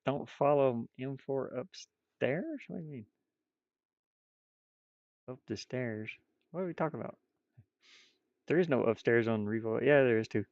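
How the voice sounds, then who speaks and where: A man chatting casually on a livestream while drawing, rambling and muttering to himself, with bursts of loudness. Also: mid-range voice, animated, measured pace, long pauses, no room sound, muffled recording. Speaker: streamer drawing digital art.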